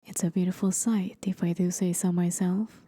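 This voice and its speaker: A woman playing a playful, courteous demon forest guide in an ASMR roleplay, with soft, breathy, often whispered speech and a teasing, gently theatrical manner. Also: lowish voice, fairly even intonation, normal speed, no room sound, lightly acted. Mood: playful coaxing. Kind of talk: ASMR roleplay, scripted acting.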